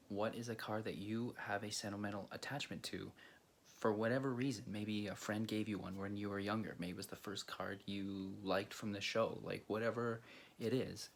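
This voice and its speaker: Casting: male video host from Greece, low creaky voice, halting casual storyteller, faint noise behind. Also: steady tone. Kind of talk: casual storytelling to camera or viewers.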